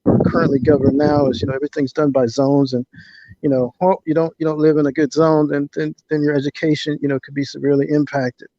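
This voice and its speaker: A man's voice: a little high, little pitch movement, quick pace, warm tone. A man answering as an interview guest in an earnest, conversational way, often repeating words as he builds a point, on slightly dull call audio.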